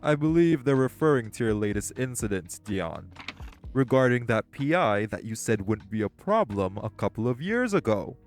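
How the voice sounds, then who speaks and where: A formal crime ringleader, played by a man in an audio drama, with a mid-pitched voice. He keeps his speech proper and measured and stern when scolding. Faint music sits underneath. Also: sing-song. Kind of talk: audio roleplay acting.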